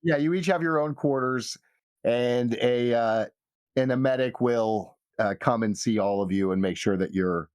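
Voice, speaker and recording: Male game master, medium pitch, halting improvised narration, very little room sound. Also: darkish tone, clean tone, no room sound, clean studio sound.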